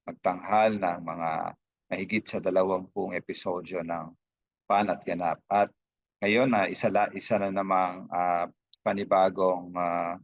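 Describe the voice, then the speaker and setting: A Filipino man giving unscripted opening remarks as a host, halting often with hesitations and broken-off words. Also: low voice, steady tone, no room sound, highs cut off. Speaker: host, institute director.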